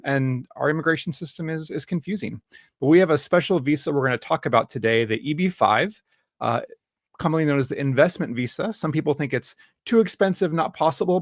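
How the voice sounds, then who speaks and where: A man's voice: on the high side, dry recording, studio quality. Upbeat man hosting a webinar. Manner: upbeat, fillers. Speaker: host. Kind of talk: webinar interview. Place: Dallas, Texas.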